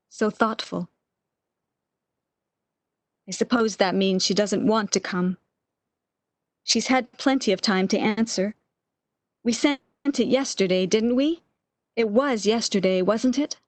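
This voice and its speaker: A woman's voice: fairly high pitch, takes it slowly, pauses often, no room sound. A woman acting in a radio play as an anxious, regretful, bedridden sick woman with a fretful, pleading manner.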